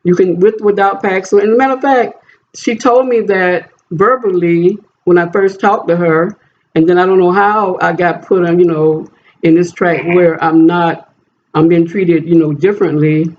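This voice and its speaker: A man's voice: high voice, fairly even intonation, normal speed. A man on a phone call as a job applicant, talking in an insistent, repetitive way. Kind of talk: phone conversation. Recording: dull noisy phone call recording.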